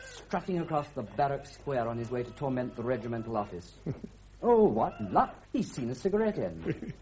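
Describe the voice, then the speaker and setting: A man's voice: mid-range voice, very animated, normal speed, a little mellow, natural voice. A man playing an outspoken, sardonic British army officer and loyal friend, with an English accent, in a radio drama. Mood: amused, mocking. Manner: sardonic, frank. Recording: muffled radio recording with background noise.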